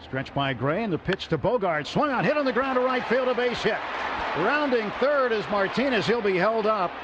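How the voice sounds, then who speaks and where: High-voiced American man calling baseball on radio. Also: animated, moderate pace. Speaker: baseball play-by-play announcer. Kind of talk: live radio sports commentary. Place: stadium broadcast booth. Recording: dull radio broadcast, loud stadium crowd, noisy. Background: loud crowd and stadium noise.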